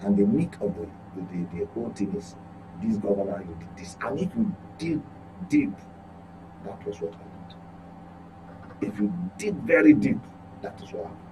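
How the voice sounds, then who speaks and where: A man's voice: lowish voice, expressive, normal speed, long pauses, background noise. A man with a Nigerian accent giving opinionated current affairs commentary as a journalist, speaking emphatically, repeating points and asking rhetorical questions.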